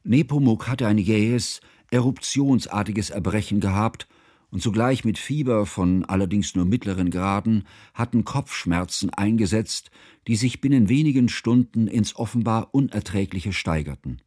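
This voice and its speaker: An actor playing a grave, mournful narrator, reading literary prose in a solemn, articulate way for a radio drama. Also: male voice, fairly low pitch, normal speed, darkish tone, no room sound, clean studio sound, lightly acted.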